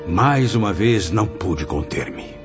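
A man's voice: on the low side, expressive, slow pace. A man playing a distressed spirit who narrates his memories in a radio drama, dramatic and confessional. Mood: desolate, indignant. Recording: dull lo-fi audio under clear music.

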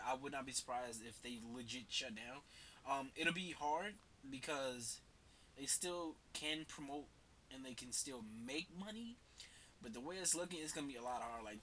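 A man's voice: mid-range voice, sharp tone, slight background noise. A man with a head cold, talking casually and rambling as a podcast host, slangy and swearing freely. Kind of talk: casual solo commentary.

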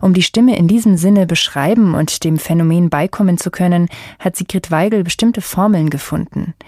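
Female radio presenter, scripted intro read, lowish and dark-toned, dry studio sound. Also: no echo.

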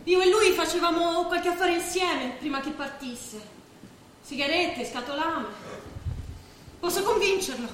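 A woman's voice: very high-pitched, unhurried. An actress playing an anxious woman in love, imploring and emotional in a theatrical stage drama. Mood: urgent, hushed. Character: anxious, pleading lover caught between two men. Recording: echoey stage recording with faint noise.

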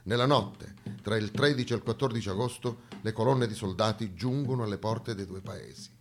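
A man performing a dramatic theatrical monologue, narrating historical events in a deliberate, declamatory way. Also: on the low side. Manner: declamatory, deliberate, storytelling delivery. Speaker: stage actor and narrator.

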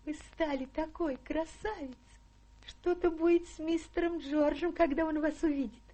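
An actress in a radio play voicing a fond, fussing household servant. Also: female voice, sits high, fairly even intonation, normal speed, mellow, natural voice. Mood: admiring. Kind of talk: theatrical radio play acting.

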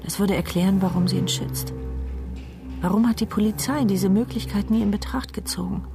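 A woman's voice: mid-range voice, fairly even intonation, a little fast, gravelly, natural voice. Anxious woman's inner voice over music acted. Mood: brooding, urgent. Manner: intense, brooding inner monologue. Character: mute paralysed woman sleuth, anxious inner voice. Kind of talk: radio play first-person narration.

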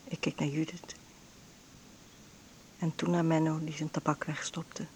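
An actress playing a frail, ailing bedridden mother in an intimate radio drama monologue, breathy and often near a whisper, with halting short phrases. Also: deep voice, level tone, darkish tone, slight background noise. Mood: weary, reflective. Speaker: actress narrating in a radio play.

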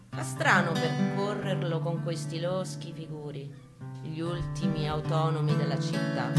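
A woman giving a theatrical, expressive first-person reading from a book, in a storytelling style. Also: deep voice. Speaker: reader performing a book excerpt. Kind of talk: dramatic first-person literary reading with guitar. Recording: live reading over acoustic guitar. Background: acoustic guitar music.

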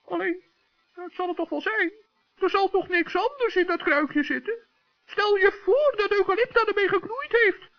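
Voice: extremely high pitch, moderate pace. An actor playing a little forest gnome in a children's radio play, with an exclamatory, childlike, theatrical way of talking. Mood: feigned innocence. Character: little old forest gnome, kindly, crafty, play-acting. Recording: dull lo-fi old radio recording.

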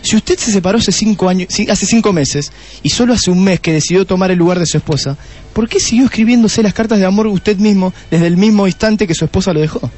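A man's voice: sits high, a little mellow. A man playing a smug, theatrical police detective with an Argentine accent in a comedic radio drama. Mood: puzzled. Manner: self-satisfied, explaining with dramatic pauses.

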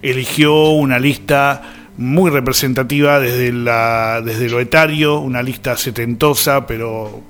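Argentine guy from buenos aires radio, medium pitch, rambly casual chat. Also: fairly even intonation, measured pace, fairly bright tone, soft background music. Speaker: radio host.

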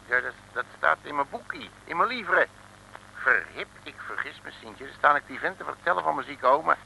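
An actor playing a brash, bumbling, self-assured Dutch detective in an old comic radio serial, blustering in colloquial slang with a Dutch accent. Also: male voice, fairly high pitch, expressive, normal speed, gravelly, pushed and bright, heavily acted. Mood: cocky, indignant. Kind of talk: 1950s-60s comic radio serial acting. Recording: noisy old radio recording.

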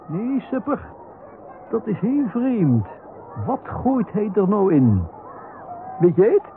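A man's voice: sits high, sing-song, takes it slowly, heavily acted. An actor playing a chatty, inquisitive professor in a radio comedy serial, questioning and insisting as he goes. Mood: suspicious, insistent. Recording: tinny narrow-band radio recording, noisy outdoor ambience.

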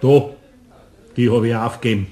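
A man's voice: medium pitch, fairly even intonation, a little slow, dark tone, a faint crowd, lightly acted. An actor playing a good-natured master craftsman with a Bavarian accent, speaking in a homely, earnest way in a radio play. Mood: resigned. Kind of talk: German radio play acting.

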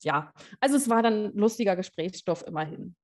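A woman's voice: medium pitch, animated, brisk. A woman researcher, a guest on a podcast, chatting casually and explaining a concept with frequent hesitations.

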